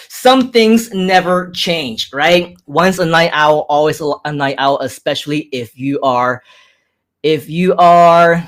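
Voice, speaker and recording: A man in his thirties with a fairly high voice hosts a casual live stream. He speaks mostly with an American accent that carries a faint Hong Kong tinge. His delivery is upbeat and chatty, with frequent repetitions as he reads out viewer comments. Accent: American with Hong Kong hints. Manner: upbeat, chatty, repeats phrases, laughs often.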